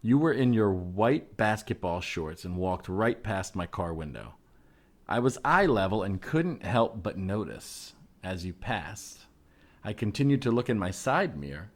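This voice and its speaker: A male podcast co-host reads a personal post aloud. His voice sits a little low and moves through a wide, animated range of pitch. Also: unhurried.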